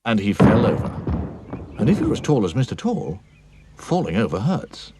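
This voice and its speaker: A man narrating a children's cartoon story in an expressive storybook style. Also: on the low side, normal speed, noisy background.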